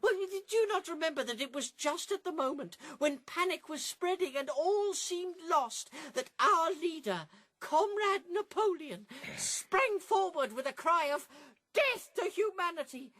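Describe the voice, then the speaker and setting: Scheming pig, very high voice, swooping intonation, slyly persuasive, insistent, radio drama. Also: female voice, lively intonation, a little slow, a bit bright, exaggerated voice.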